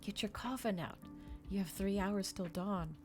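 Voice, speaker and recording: A woman voicing a brooding, resolute male vampire in a storybook reading, grave and deliberate. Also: medium pitch, music in the background, natural voice. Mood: commanding.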